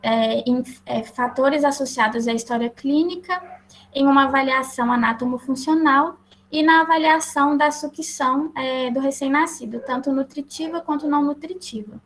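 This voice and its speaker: A woman with a Brazilian accent presenting slides as a lecturer, explaining in a halting way. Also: high voice. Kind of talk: slide presentation to health professionals. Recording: clear background noise.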